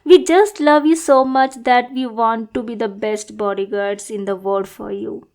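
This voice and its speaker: A woman acts out a lovestruck young female bodyguard in an audio roleplay. Her voice sits fairly high and she speaks slowly, with a sweet, adorkable manner that turns possessive and bossy. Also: steady tone, darkish tone. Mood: adoring. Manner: sweet, adorkable, slow, gushing then backpedalling. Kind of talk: acted audio roleplay addressed to listener.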